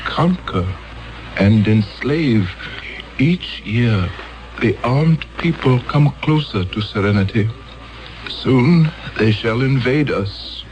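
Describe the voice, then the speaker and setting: A man's voice: mid-range voice, expressive, highs cut off. An actor playing a grave male elder of a peaceful alien world in a 1970s radio drama. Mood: solemn. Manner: solemn, measured.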